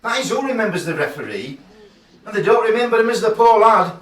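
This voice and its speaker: An actor playing an aggrieved, scornful rugby fan in a radio play, speaking in a declamatory way. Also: male voice, high voice, animated, fast talker, a bit bright, far from the mic. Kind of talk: theatrical radio play acting. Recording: echoey, away from the mic.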